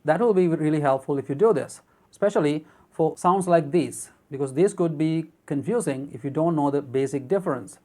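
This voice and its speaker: An English teacher, speaking English as a second language, explains vocabulary and pronunciation in a steady, explanatory way and reads word lists aloud one by one. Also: mid-range voice, a little fast, hardly any pauses. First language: not English. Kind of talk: narrated vocabulary and pronunciation lesson.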